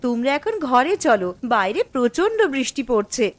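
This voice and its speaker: A woman as a high-pitched, insistent cartoon housewife. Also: very animated, fairly quick. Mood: fussing, welcoming. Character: bossy married daughter and housewife, sharp-tongued with husband. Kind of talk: Bengali moral-story cartoon dialogue.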